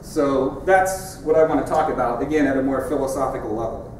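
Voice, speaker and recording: A man's voice: medium pitch, fairly even intonation, a little fast, darkish tone, a little hiss or hum. A middle-aged man giving a conference keynote in a large lecture hall, in a conversational, wry way as he points at his slides. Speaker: keynote speaker. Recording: echoey hall from far off.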